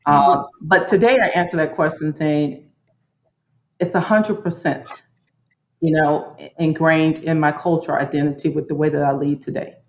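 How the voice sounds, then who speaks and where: A woman speaking as a panelist in a reflective, deliberate and earnest way. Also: sits low, steady tone. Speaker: panelist, corporate leader. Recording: roomy, muffled lo-fi audio.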